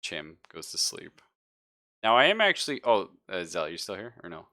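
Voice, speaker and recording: A man narrating a horror tabletop game as game master, improvising in a hesitant, halting way. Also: deep voice, wildly swooping pitch, bright, forceful tone.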